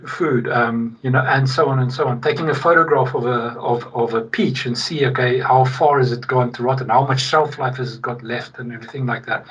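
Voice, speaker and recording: A middle-aged man from South Africa, a guest in a casual podcast interview, talking in an animated, emphatic way with frequent restarts. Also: medium pitch, husky. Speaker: guest, logistics executive. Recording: distant, dull remote-call sound.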